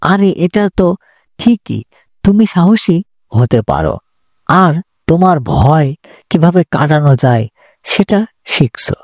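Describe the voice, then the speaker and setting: A patient uncle figure, played by a man, in a children's moral cartoon. He speaks very slowly with lively rises and falls, reassuring and instructive. The sound is dry. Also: on the high side, dry recording. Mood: encouraging. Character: patient, encouraging uncle mentoring his young nephew.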